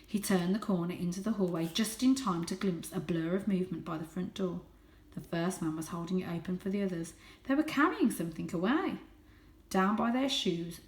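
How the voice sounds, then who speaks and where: A woman reading a children's story aloud as host, with expressive, dramatic and suspenseful narration. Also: fairly low pitch. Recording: echoey room.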